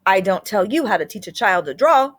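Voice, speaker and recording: A woman's voice: mid-range voice, animated, brisk, fairly bright tone. Scornful school principal, read by a woman. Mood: scoffing. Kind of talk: storybook read-aloud dialogue.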